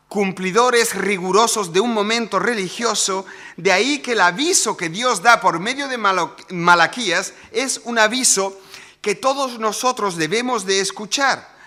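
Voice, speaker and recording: A man's voice: high voice, sharp tone. A man preaching a sermon in a conversational way, often asking the congregation questions. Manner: conversational, asks the audience rhetorical questions.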